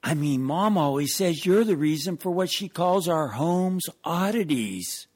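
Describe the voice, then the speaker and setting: A man's voice: on the high side, animated, a little slow, a little mellow. A man playing an inquisitive, doubtful young son who questions and whines in a comedy radio sketch. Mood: puzzled, protesting. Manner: questioning, whiny protest.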